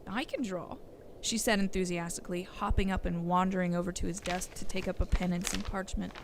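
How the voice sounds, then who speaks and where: Low, creaky woman storybook narrator. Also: level tone, fast, noisy background.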